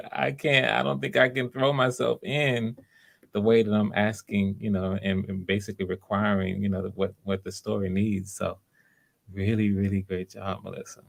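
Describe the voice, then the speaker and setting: Male audition host, low gravelly voice, halting and encouraging, casual live-stream delivery. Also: level tone. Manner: effusive encouragement, repeats phrases, halting. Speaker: audition host, writer-director. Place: online video call.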